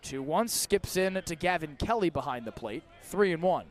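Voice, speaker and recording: A man's voice: a little high, animated, normal speed. A man doing live radio baseball play-by-play outdoors at a ballpark, in clipped, descriptive calls that rise with the action. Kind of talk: live radio sports commentary.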